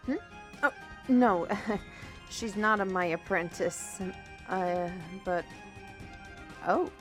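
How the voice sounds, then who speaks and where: A woman playing a chatty, easily flustered storyteller in a fantasy audio roleplay, with an expressive, hesitant delivery. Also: medium pitch, drawn-out words. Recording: music behind the voice.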